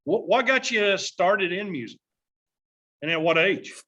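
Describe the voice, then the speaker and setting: A man co-hosting a podcast, asking questions in a relaxed way with lots of fillers. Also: high voice, a little slow. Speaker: podcast co-host. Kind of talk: casual podcast chat.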